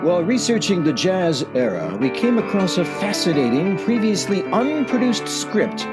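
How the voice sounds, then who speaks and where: Theatrical male announcer over loud guitar. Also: high-pitched, big pitch swings, normal speed. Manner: theatrical, mock-solemn. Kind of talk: scripted grand comic introduction. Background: loud plucked guitar music.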